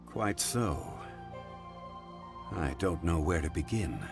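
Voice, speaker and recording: Composed male scholar: very low, lively pitch, courteous, over score. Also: gravelly, exaggerated voice. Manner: calm, measured, courteous. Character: calm learned male scholar, composed and measured. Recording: game cutscene with music behind.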